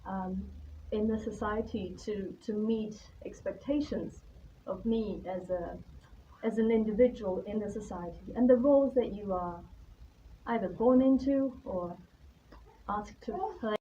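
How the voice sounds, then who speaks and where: A woman speaks to a gathering about herself and her work, hesitant and self-conscious but candid. Her voice is mellow and relaxed in tone. The recording is dull and distant, with faint background noise. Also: mid-range voice, unhurried. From Taiwan. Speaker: artist-in-residence guest speaker. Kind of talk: informal spoken presentation about own life and work. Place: live talk at an art venue.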